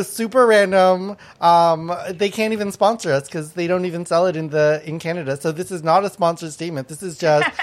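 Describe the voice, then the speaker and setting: Laughing, blunt podcast host, Thai-born man. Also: sits high. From Thailand. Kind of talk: casual chat.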